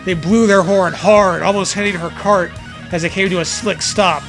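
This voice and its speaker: Man, gravelly high voice, mock-dramatic comedic reader, profane, guitar music behind. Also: fairly even intonation, moderate pace, fairly bright tone. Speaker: co-host reading aloud. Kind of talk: comedic dramatic reading of fan fiction with banter.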